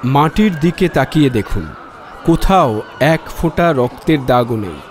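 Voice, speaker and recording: An actor playing a polite, sharp, self-assured amateur detective in an audio drama, speaking in a measured, quietly probing way. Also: male voice, mid-range voice, animated, slight background noise, natural voice. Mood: reasoning, assured.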